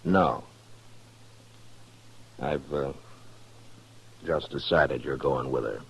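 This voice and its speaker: An American actor playing a calm, stern frontier marshal in a 1950s radio western, speaking in a measured, terse way. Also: male voice, very deep voice, creaky, a little hiss or hum, exaggerated voice. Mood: calm, firm. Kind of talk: 1950s radio drama acting. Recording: dull 1950s radio recording.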